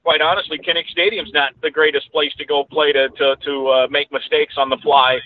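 A man's voice: fairly high pitch, little pitch movement, a little fast, slight background noise. A male sports broadcaster giving conversational analysis as a guest over a remote call, rephrasing as he goes. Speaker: radio play-by-play announcer as interview guest. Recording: thin, narrowband phone-like line.

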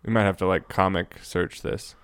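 Very low, smooth-voiced man chatting. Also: fairly even intonation, moderate pace. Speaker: podcast co-host. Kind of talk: casual podcast chat and explanation.

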